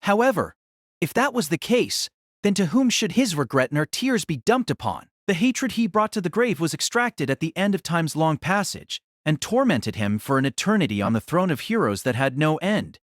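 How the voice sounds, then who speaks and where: A man's voice: on the high side, very animated, moderate pace, no room sound, studio quality. A man narrating a novel as a dramatic audio story, in a solemn, theatrical manner.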